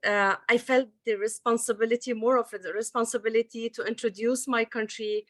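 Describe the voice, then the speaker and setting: A woman's voice: on the high side, fairly even intonation, fairly quick, a bit bright. Lebanese woman presenting with many fillers. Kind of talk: presenting slides on a video call.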